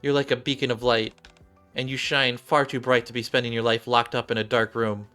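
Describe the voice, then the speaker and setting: A man voicing a shy, earnest young android gamer girl from a game story, halting and heartfelt. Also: medium pitch, flat delivery, sharp tone, soft background music, pitched above the performer's own voice, close to an everyday voice. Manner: halting, heartfelt, repeats and restarts.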